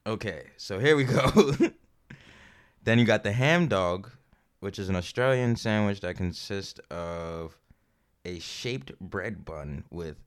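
An American man co-hosting a podcast, chatting casually and jokily as he reads out information in a halting way. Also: on the low side, animated, clear, resonant tone. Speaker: podcast co-host. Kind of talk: casual comedic chat, reading out information.

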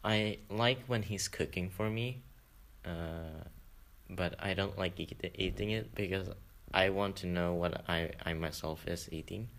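A man's voice: sits low, unhurried, vocal fry, fairly bright tone. A young man talking casually on a student podcast, hesitant and often laughing as he trails off. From Sweden. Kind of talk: casual chat.

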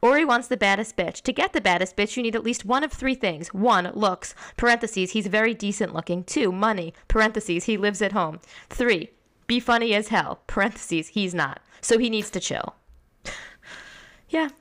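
A woman's voice: mid-range voice, normal speed, fairly bright tone. A woman co-hosting a podcast, chatting casually and reading tweets aloud with laughs. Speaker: podcast co-host. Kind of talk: casual chat reviewing a reality show.

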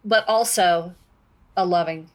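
A woman co-hosting a book discussion, talking casually in a measured, deliberate way. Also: on the low side.

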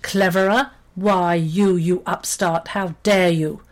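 A mighty storybook lion king, acted out by a woman. He is domineering and quick to rage. His delivery is blustering and imperious, with a sarcastic, menacing edge. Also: mid-range voice, big pitch swings, husky. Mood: enraged.

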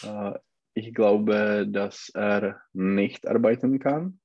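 Slow, hesitant man with a Czech accent. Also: on the low side, fairly even intonation, darkish tone, dry recording, muffled recording. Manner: hesitant, searching for words with many fillers. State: has a cold. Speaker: German learner. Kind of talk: halting online language lesson.

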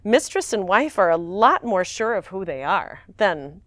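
A woman in her forties, an actress guest, talking in a rambling, animated way and laughing often. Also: mid-range voice, unhurried, no echo, studio quality. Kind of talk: casual interview chat.

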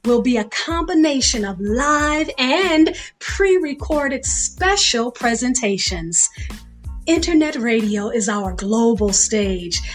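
A woman hosting a radio show, introducing it in an emphatic, enthusiastic way. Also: on the high side. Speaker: host. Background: music behind the voice.

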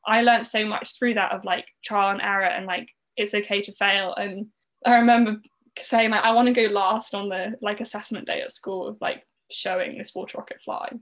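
A young woman with a Southern English accent talks as a podcast guest. She is chatty and fills her sentences with "like". Her pitch sits in the middle for a woman. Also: steady tone, normal speed, a bit bright. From Netherlands. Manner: chatty, frequent "like" fillers. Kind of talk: podcast interview, personal story.

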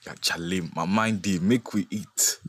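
A man's voice: sits low, steady tone, a little slow, fairly bright tone, husky, no echo, exaggerated voice. A man acting a crude, threatening gang henchman in an audio play, with a Ghanaian accent. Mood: leering. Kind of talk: street-talk dialogue in an audio play.

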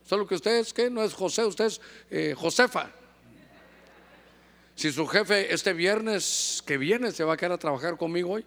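High-voiced male preacher, lively and joking, acts out little scenes. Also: measured pace. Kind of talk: sermon.

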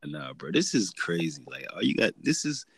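A man's voice: low voice, sing-song, moderate pace, gravelly, fairly bright tone. A man in casual podcast chat, talking slangy and laid-back with lots of fillers.

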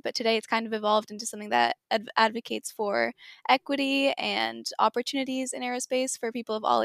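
A woman's voice: on the high side, gravelly, fairly bright tone, no room sound, clean studio sound. A sixteen-year-old girl from Seattle, answering interview questions casually and eagerly, with frequent fillers. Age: teens. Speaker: guest, nonprofit founder.

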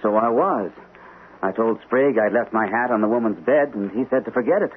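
An actor playing an earnest captain confiding a troubling story, speaking deliberately in vintage radio drama style. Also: male voice, medium pitch, close to an everyday voice. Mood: intent, revealing. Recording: lo-fi old radio recording, faint hiss.

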